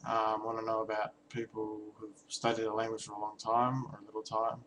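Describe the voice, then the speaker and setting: Young male vlogger, low-pitched, halting and reflective, slight background ambience. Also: completely flat delivery, a bit bright, a little hiss or hum, poor audio. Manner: hesitant, many restarts and ums, reflective. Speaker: vlogger and language learner. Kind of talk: casual talking-head vlog.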